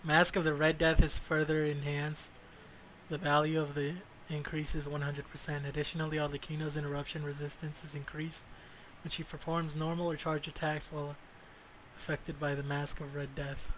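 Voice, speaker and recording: A fairly high-voiced man streams a game with clear noise behind him. He talks casually and bluntly, swearing freely and muttering his thoughts aloud. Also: fairly bright tone. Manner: blunt, foul-mouthed, mutters to self. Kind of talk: casual gameplay commentary. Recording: clearly noisy background.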